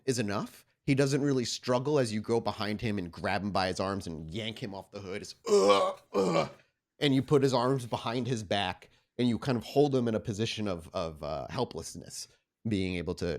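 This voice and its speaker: A man working as a game master, improvising descriptive, conversational narration for a tabletop roleplaying game. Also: lowish voice. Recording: background music.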